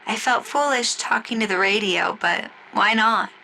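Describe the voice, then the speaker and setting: A woman narrating a scripted first-person story in a gentle, storybook manner, styled after old-time 1940s radio drama. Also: medium pitch, normal speed, vocal fry, slight background noise, rough recording. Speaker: storyteller and singer.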